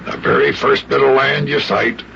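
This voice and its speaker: An actor playing a jolly, patient old sea captain in a children's radio play, with folksy nautical talk and repeated phrases. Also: male voice, mid-range voice, big pitch swings, normal speed, gravelly. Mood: jolly, assured. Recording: muffled, noisy old radio recording.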